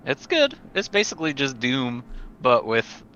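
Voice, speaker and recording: A man chatting casually as a tabletop game player, halting as he talks, on a slightly muffled, noisy mic. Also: medium pitch, huge pitch swings, sharp tone. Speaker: player on a tabletop roleplaying show. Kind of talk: casual chat.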